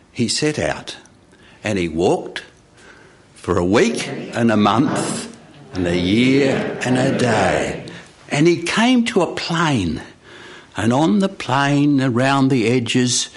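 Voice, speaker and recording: Slow, animated male storyteller. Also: medium pitch, breathy edge. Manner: dramatic, deliberate phrasing with pauses. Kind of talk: live storytelling to an audience. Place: radio studio with live audience.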